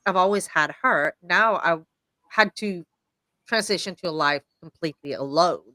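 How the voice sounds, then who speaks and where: A woman's voice: fairly low pitch, moderate pace, no echo. A woman from Sweden hosting a podcast, chatting casually and telling stories with acted-out quotes. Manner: animated storyteller, acting out quoted lines. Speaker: podcast host.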